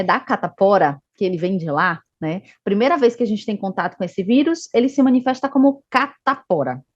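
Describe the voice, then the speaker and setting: A woman's voice: medium pitch, no room sound, lo-fi sound. A woman from Salvador with a Northeastern Brazilian Bahia accent, giving an online health presentation on a video call. She explains patiently and keeps adding the tag question "né". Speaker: medical education manager presenting.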